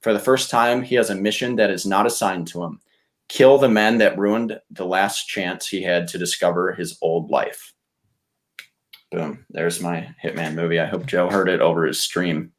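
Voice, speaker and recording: A man chatting casually and reading out pitches as a podcast contestant. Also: fairly even intonation, moderate pace. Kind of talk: casual chat with read-out pitches.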